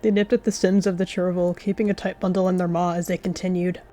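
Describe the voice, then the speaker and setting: A woman's voice: lowish voice, flat delivery, quick pace, warm tone. A woman narrating a game roleplay as a story, slipping into chatty asides.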